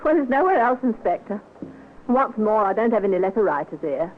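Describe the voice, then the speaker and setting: A garrulous, protective landlady played by a woman in a radio crime play. Her pitch is very animated and her manner folksy and a touch indignant. The old broadcast is narrow and noisy. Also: a little fast, natural voice. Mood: defensive, chatty. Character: chatty, defensive female boarding-house landlady. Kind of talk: old-time radio acting.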